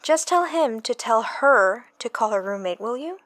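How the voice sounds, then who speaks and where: Brisk, guarded young woman, higher-pitched, dry close sound through a phone receiver, audio drama. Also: lively intonation, a bit bright, dry recording. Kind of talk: audio book character acting.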